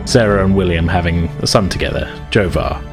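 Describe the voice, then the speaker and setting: A man's voice: low-pitched, brisk, gravelly, over music. A man acting as game master, narrating a tabletop roleplaying game in a conversational, descriptive way.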